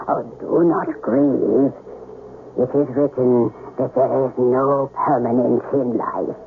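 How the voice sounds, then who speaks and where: An actor playing a calm, wise Japanese holy man who speaks slowly and solemnly in a 1970s radio drama. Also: medium pitch, lightly acted. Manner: slow, solemn, measured. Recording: muffled old radio broadcast, faint music.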